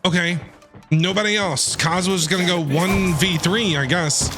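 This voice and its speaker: A man's voice: sits high, moderate pace. A man giving playful, casual commentary as he streams a video game. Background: game music.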